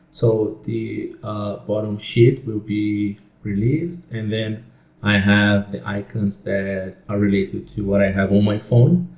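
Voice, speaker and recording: A man gives a relaxed design tutorial, thinking out loud and correcting himself along the way. His voice is low, dark and gravelly, and his delivery is slow. The sound carries noticeable room echo. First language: Portuguese. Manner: relaxed, thinking aloud with fillers and self-corrections. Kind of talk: screen-share software tutorial.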